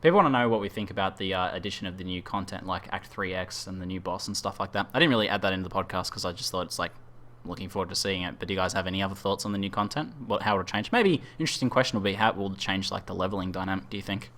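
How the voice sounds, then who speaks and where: A man's voice: sits low, racing, hardly any pauses, a bit bright. A man hosting a casual gaming podcast, chatting informally and reading out questions from the chat. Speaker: podcast host.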